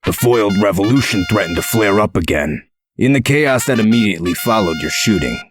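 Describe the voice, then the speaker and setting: A man playing a gruff, protective male character in a comic dub, speaking in a measured, dry, explanatory way. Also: lowish voice, animated, faint music behind, close to an everyday voice. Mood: calm, explanatory.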